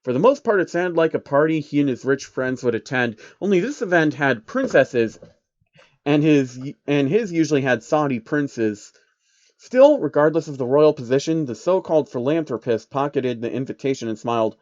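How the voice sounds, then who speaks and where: Guy reading a fanfic out loud, sweary side comments, dry kinda muffled mic. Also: a little high, expressive, moderate pace, dry recording. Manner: irreverent, sweary asides. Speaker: narrator of online fan fiction. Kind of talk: casual storytelling with comic asides.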